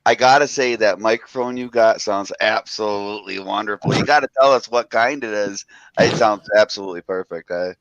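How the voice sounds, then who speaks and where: Low-voiced man, dry lo-fi sound. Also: brisk, fairly bright tone, no echo. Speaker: panel guest. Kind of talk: casual panel chat.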